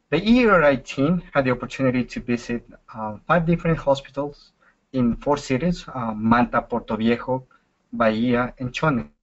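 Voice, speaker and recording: A young man from Ecuador, a student, presenting hospital findings on a webinar in a measured way with fillers. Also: mid-range voice. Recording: dull lo-fi webinar audio.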